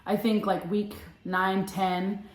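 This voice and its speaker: A woman's voice: mid-range voice, slow pace. A young adult woman telling a personal story in a casual, chatty vlog with lots of fillers. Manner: chatty, animated, many fillers. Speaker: vlogger. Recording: echoey room.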